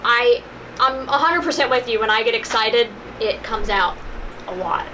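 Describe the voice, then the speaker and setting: A young woman with a slight Appalachian accent tells stories in a casual vlog chat. She enunciates carefully with rounded vowels, and her voice sits fairly high. Steady background noise runs under the home recording. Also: moderate pace. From West Virginia. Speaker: vlog co-host.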